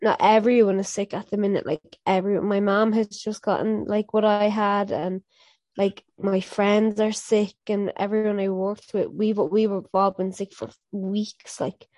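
A young woman co-hosting a casual podcast, her voice mellow and a little stuffed up from a cold. She rambles along with plenty of "like" and "um". The sound is dry and close. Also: mid-range voice, steady tone, measured pace, dry recording. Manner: chatty, rambling with fillers like "like" and "um". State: stuffy, blocked nose. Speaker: podcast co-host. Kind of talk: casual chat.

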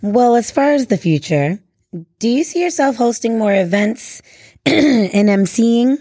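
A woman's voice: on the high side, animated, measured pace, mellow, dry recording. A woman hosting a show and asking interview questions in a conversational way. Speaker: show hostess.

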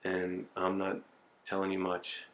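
A man's voice: low-pitched, completely flat delivery, a little slow, a bit bright, poor audio. A man in his forties rambling in a profane, self-mocking way to his home camcorder. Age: 40s. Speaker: video diarist. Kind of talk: casual self-recorded monologue.

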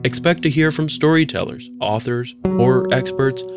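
A man voices a scripted podcast opening in an unhurried, even cadence. Plucked guitar music plays loudly under him the whole time. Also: a little high. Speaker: show announcer. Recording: voice over loud guitar music.

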